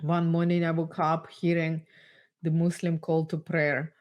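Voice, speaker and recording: A woman from Ukraine with a Russian accent, whose first language is Russian, giving personal testimony as an interview guest in an earnest, measured way with pauses. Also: low-pitched, completely flat delivery, lo-fi sound. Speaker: guest speaker.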